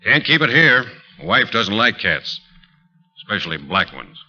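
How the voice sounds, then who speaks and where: An actor playing an obliging, folksy tavern keeper in a 1940s radio drama, talking in an offhand way. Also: male voice, lowish voice, very animated, moderate pace, sharp tone, heavily acted, rough recording. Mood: breezy. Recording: old 1940s radio recording.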